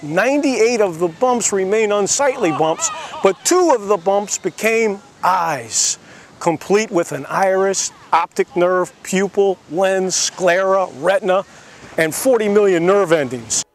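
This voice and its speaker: A man's voice: sits high, animated, a little slow, a little hiss or hum. A man narrating a satirical story in a mock-naive, sarcastic explaining manner.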